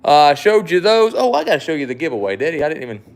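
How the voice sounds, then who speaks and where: Rambling Mississippi man with a smooth voice. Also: fairly high pitch, big pitch swings, rapid-fire. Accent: Southern US, Mississippi. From South Mississippi. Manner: rambling, repeats words, reads viewer comments. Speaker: livestream host, knife shop owner. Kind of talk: casual livestream chat.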